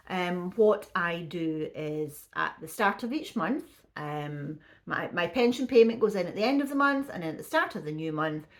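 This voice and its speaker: A woman in her fifties talks to her viewers in an easy, unhurried way, stopping and restarting with plenty of ums. Her voice sits low and is a little croaky. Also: fairly bright tone. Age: 50s. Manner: chatty, with frequent restarts and ums. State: slightly croaky voice. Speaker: vlogger. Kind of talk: casual talking to camera.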